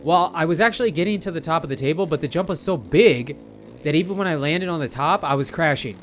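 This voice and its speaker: A man's voice: a little high, quick pace, a little hiss or hum. A man giving casual, rambling gameplay commentary, chatting informally and speaking directly to his viewers. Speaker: gamer narrating gameplay.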